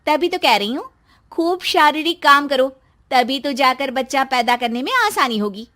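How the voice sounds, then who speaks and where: A woman's voice: high-pitched, moderate pace, pushed and bright. A woman playing an impatient young wife who scolds and mocks in a comic story. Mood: irritated, nagging. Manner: scolding, mocking, exasperated. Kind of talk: acted dialogue in a Hindi comic story.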